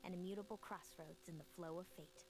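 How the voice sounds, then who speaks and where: An actress playing a melancholy, fatalistic young woman seer in a video game, with solemn, measured dramatic delivery. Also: on the low side, fairly even intonation, lightly acted, rough recording. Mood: proud, ominous. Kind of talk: scripted video game voice acting. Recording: loud music under the voice. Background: game music.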